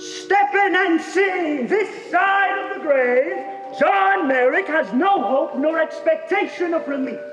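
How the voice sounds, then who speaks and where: High-pitched brash sideshow barker played by a young actor. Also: male voice, slow pace. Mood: brash showmanship. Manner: declamatory, hawking to a crowd. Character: loud brash sideshow barker and freak-show manager. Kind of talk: theatrical stage declamation. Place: high school theater stage. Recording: echoey stage hall with music behind.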